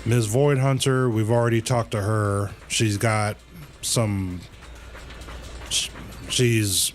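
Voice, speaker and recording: A man livestreaming a game with casual commentary, thinking aloud in a halting way and repeating phrases. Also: fairly low pitch, steady tone, faint music behind.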